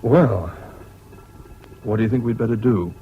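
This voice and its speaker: An actor with a British accent playing a weary, reserved narrator in a 1970s radio drama, speaking in a measured, reflective way. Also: male voice, fairly low pitch, close to an everyday voice. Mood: concerned. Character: weary, concerned friend and narrator, a reserved man. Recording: dull, noisy old radio recording.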